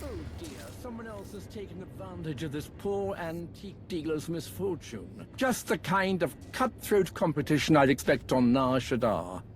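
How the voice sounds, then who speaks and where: An eager academic archaeologist for a game cutscene. His voice sits fairly high and swings in lively melody, with polite, bookish, slightly fretful phrasing. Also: normal speed, few pauses, noisy background. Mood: bemused, anxious. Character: eager, fretful, well-mannered scholarly archaeologist man. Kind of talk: video game cutscene acting.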